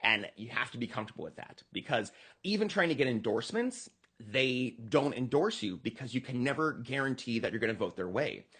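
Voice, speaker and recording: Animated American man, slightly husky voice. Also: medium pitch, brisk. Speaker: political candidate being interviewed. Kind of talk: conversational campaign pitch in a podcast interview.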